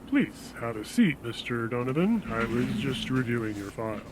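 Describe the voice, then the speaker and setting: Man acting as male insurance salesman, mid-pitched, measured, persuasive, solicitous, radio play, audible background noise. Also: very animated, natural voice. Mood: polite, businesslike. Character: smooth-talking, reassuring male death insurance salesman. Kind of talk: audio drama dialogue.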